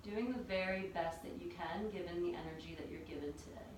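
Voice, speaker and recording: A woman teaching a yoga class, calmly guiding breath and movement step by step. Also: on the low side, a little fast. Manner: calm, gentle cueing of breath and movement. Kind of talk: guided yoga instruction. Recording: very echoey room, distant mic, faint noise.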